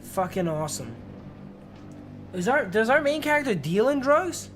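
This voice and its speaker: A man's voice: high voice, sing-song, moderate pace. A man streaming a game with casual, chatty, sweary commentary full of slang. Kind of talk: casual livestream commentary and reading game text aloud. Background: music behind the voice.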